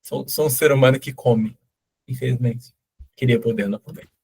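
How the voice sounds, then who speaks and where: A man moderating a group video call in a relaxed, joking manner. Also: medium pitch, moderate pace, creaky, slightly rough. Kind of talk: casual moderating.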